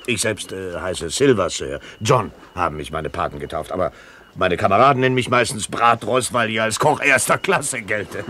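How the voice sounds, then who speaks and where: A man's voice: fairly low pitch, moderate pace, sharp tone. An actor playing a jovial, sly middle-aged one-legged ship's cook with folksy, wheedling seaman's patter in a radio play. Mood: jovial, flattering. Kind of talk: 1970s German radio play acting.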